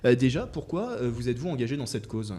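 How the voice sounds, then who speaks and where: A man hosting a local radio interview, reading a smooth introduction and asking questions with some hesitation. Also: mid-range voice, very animated, fast, dark tone. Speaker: radio host. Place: radio studio.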